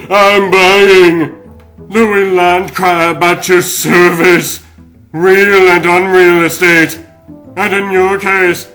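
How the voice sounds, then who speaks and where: Man doing a high, smarmy crab villain. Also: a bit bright, full character voice. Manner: effusive, wisecracking. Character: gushing, smarmy male crab real-estate huckster villain. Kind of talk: theatrical comic book dub acting.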